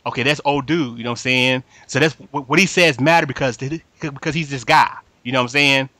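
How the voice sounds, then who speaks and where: Fast-talking man with a bright voice. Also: mid-range voice, no echo. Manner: slangy, repeats words and phrases emphatically. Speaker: podcast host moderating a group discussion. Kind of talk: casual anime fan chat.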